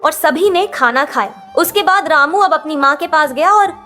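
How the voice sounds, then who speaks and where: High-pitched female storybook narrator. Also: moderate pace, sharp tone, faint music behind. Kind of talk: scripted children's story narration in Hindi.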